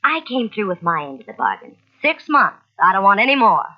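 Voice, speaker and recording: A woman's voice: mid-range voice, big pitch swings, fairly quick. An actress playing a hard-boiled, slangy carnival sideshow woman nearing forty in an old-time radio mystery. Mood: impatient, bitter. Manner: brassy, slangy, impatient. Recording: dull old radio recording from cassette.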